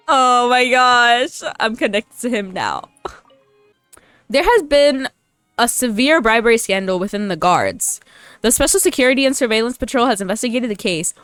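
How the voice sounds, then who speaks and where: A woman's voice: fairly high pitch, fairly bright tone, no echo. A woman gaming YouTuber giving casual, informal playthrough commentary in a chatty way. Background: faint game music.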